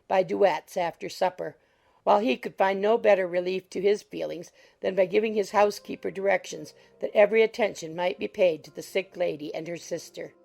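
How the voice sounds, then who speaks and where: A woman's voice: medium pitch, creaky. A woman narrating a classic novel as an audiobook in a scripted literary reading style. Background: soft music.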